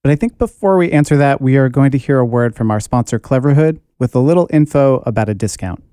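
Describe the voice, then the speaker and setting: A man co-hosting a podcast who speaks in a measured, thoughtful way. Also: medium pitch, mellow, a little hiss or hum. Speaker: podcast co-host. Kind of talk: casual chat.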